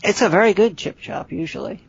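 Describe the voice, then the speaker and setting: A woman, co-hosting a podcast in casual chat and pausing often with "uh". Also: mid-range voice, animated, gravelly, breathy edge. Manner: hesitant, fills pauses with "uh". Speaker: podcast co-host. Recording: dull lo-fi podcast audio.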